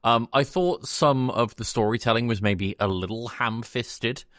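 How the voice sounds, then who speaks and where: A man's voice: mid-range voice, a little slow, a bit bright, no echo, clean studio sound. A man co-hosting a podcast, chatting casually in a blunt manner. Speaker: podcast host.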